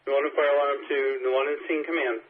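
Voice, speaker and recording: A man's voice: on the high side, flat delivery, fast talker. Phone-like radio voice, male fire dispatcher. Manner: terse, procedural. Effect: two-way radio. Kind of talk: radio dispatch, repeating back transmissions. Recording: phone-like fire radio audio, static and noise.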